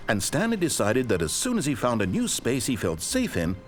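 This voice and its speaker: An actor playing a dry, ironic male narrator of a video game, telling the story in a measured, scripted way. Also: medium pitch, huge pitch swings. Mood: wry. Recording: game audio with faint music.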